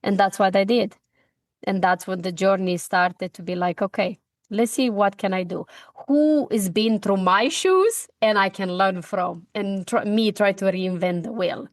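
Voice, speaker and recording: A middle-aged woman with a Romanian accent speaks in an earnest, heartfelt way. She breaks off and restarts as she talks, and her voice sits at a middle pitch. The recording is dry and close, with no room sound. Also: unhurried, dry recording. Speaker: guest, speaker and coach. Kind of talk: personal story in a podcast interview.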